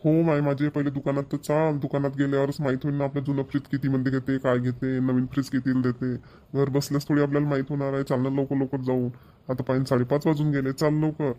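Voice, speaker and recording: A man's voice: medium pitch, level tone, fast talker, warm tone, lo-fi sound, lightly acted. A man voicing an impatient, thrifty young husband in a Marathi cartoon, with a Maharashtrian accent. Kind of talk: cartoon voice acting.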